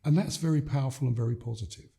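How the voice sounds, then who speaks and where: An older man from Gambia speaks as a guest in an interview, measured and emphatic. He stacks his points in repeated phrases. His voice sits mid-range and sounds mellow and warm. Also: medium pitch, creaky. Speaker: guest, leadership coach. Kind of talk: reflective interview conversation.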